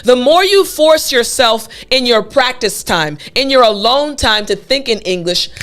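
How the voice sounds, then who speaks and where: A woman's voice: mid-range voice, fairly bright tone. A young woman teaching English, emphatic and encouraging, repeating her key points. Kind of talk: scripted lesson to camera.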